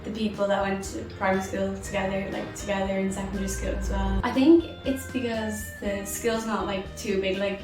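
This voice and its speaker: A woman's voice: medium pitch, flat delivery, a little fast, few pauses. A sixteen-year-old Irish girl answering interview questions casually, filling her talk with "like". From Ireland. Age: teens. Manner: chatty, lots of "like" fillers. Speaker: former student interviewee. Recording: echoey room, distant mic, music underneath.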